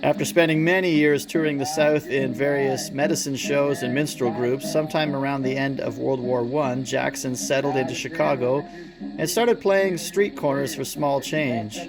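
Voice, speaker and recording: A man hosting a history video, explaining things informally in a somewhat halting way. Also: mid-range voice, rough recording. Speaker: host of a music history video series.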